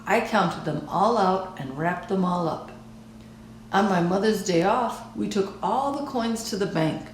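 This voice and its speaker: Woman, children's read-aloud, slow pace, expressive narration, reverberant room. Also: mid-range voice, slight background noise. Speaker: storybook reader.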